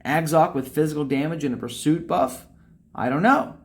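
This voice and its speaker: A young man commenting over a strategy game, emphatic and animated, ending points with "okay?", recorded on a webcam indoors. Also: fairly high pitch, normal speed. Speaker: game guide creator. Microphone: webcam facecam over screen capture.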